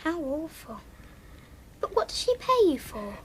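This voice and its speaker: A woman's voice: sits high, very animated, measured pace, a little hiss or hum, poor audio. A woman playing a kind, polite young girl in a radio theatre drama, earnest and pleading with proper diction. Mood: concerned.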